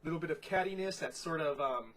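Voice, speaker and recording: A congested man giving a casual, descriptive beer tasting review, unhurried and full of "you know" fillers. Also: on the high side, a bit bright.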